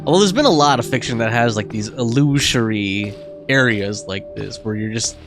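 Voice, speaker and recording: Male game streamer, medium pitch, animated intonation, offhand and impatient, music prominent behind. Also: normal speed. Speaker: gaming streamer. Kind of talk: casual playthrough commentary.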